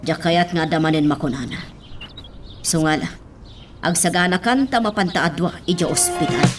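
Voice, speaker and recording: A woman's voice: on the low side, normal speed, music in the background, close to an everyday voice. A woman playing an insistent, nagging wife in a radio drama, with an Ilocano accent. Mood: insistent, reproachful. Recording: faint birdsong and background noise.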